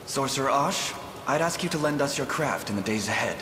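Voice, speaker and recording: A man playing a noble young prince who leads an army in a video game, speaking in a measured, earnest, formal way. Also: mid-range voice, lively intonation, background noise, lightly acted. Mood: firm, calm. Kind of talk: video game cutscene acting. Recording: echoey, loud music behind.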